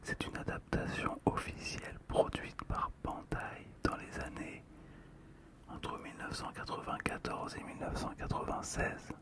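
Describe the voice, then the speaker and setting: An ASMR host whispering softly and slowly while presenting and commenting on collectibles in a hushed voice. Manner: whispers throughout, slow and hushed.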